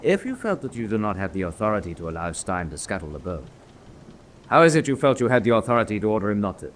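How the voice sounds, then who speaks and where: A measured, authoritative wartime submarine commander in a radio play. His voice sits fairly low and the words come quickly, as he reasons with principle, over faint noise. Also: very animated. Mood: stern, probing. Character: measured, principled German senior U-boat officer, a prisoner of war. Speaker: actor. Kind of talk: radio drama acting.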